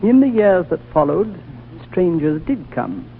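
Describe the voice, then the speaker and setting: An actor playing an ancient, calm and wise male High Lama in a 1930s radio drama, speaking slowly and gently. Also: gravelly, a little hiss or hum, full character voice. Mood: solemn. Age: older. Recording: tinny old radio broadcast.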